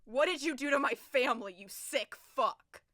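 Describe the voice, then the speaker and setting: A high-voiced, bright woman investigator held captive as an actress plays her in an audio drama. She is intense and defiant, her words edged with desperation, recorded close and dry. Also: expressive, dry recording. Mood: desperate. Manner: intense, emotional, halting.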